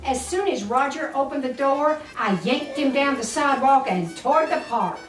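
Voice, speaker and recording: An older woman reads a children's story aloud in a highish, very animated voice, taking her time over each phrase. She turns aside to speak fondly to young listeners. The recording is echoey and distant, with music clearly playing underneath. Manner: expressive, deliberate, doting toward the children.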